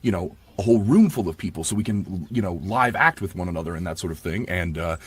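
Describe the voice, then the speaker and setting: A man speaks as an interview guest in a fairly low register. His voice has a husky grain to it, and his manner is effusive and enthusiastic, in an informal conversational style. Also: animated, fast. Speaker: audiobook narrator and guest. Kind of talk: casual interview chat.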